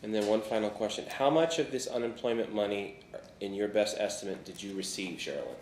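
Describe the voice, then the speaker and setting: A male attorney questioning his client and moving exhibits into evidence in measured legal phrasing. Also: on the low side, darkish tone, a little hiss or hum. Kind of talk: direct examination in court. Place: courtroom. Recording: echoey courtroom.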